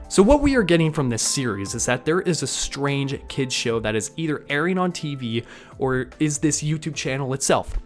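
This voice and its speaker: A man narrates a scripted video breakdown as the host, in a medium-pitched voice. His delivery is that of an online narrator who mixes prepared commentary with casual asides. Music plays underneath his voice. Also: sing-song, normal speed.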